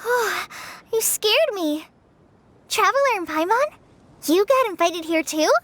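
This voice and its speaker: A woman's voice: very high-pitched, expressive, slow pace, fairly bright tone, slight background noise, full character voice. A woman voicing a shy, earnest teenage forest ranger girl in an English anime game dub. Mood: startled, shy. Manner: hesitant, polite, earnest. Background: game music.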